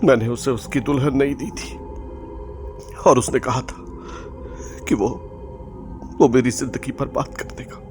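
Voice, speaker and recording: A man's voice: medium pitch, fairly even intonation, unhurried, pauses often, darkish tone, soft background music, close to an everyday voice. A man with an Indian accent playing an anxious, secretive young bridegroom in an audio drama. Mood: haunted, fearful. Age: young adult. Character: anxious, haunted young bridegroom scientist, devoted but secretive. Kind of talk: Hindi audio drama acting and first-person narration.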